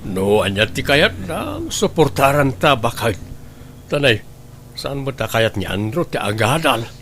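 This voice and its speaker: A man playing a supportive, gentle older father-in-law in an Ilocano radio drama. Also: mid-range voice, sing-song, unhurried, a little hiss or hum. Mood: concerned.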